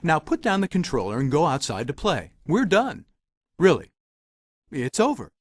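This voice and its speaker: A man's voice: on the high side, wildly swooping pitch, normal speed, no echo, clean studio sound. A man voicing a talking magic mirror who narrates a video game like a storybook, chatty and theatrical, with droll, wry asides. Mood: dry, dismissive.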